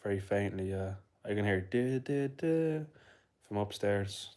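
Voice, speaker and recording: A man's voice: on the low side, lively intonation, unhurried, darkish tone. A young man in his twenties from the UK, chatting casually into a phone on a livestream at home, rambling and answering viewers' comments. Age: 20s. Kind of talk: casual live-stream chat. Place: home indoors.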